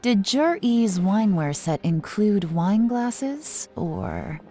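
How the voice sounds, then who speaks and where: A woman's voice: medium pitch, sing-song, takes it slowly, mellow, soft background music. A woman playing a shrewd, composed investigator in a video game, speaking in an analytical, politely probing way. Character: cool, shrewd adult woman investigator in command.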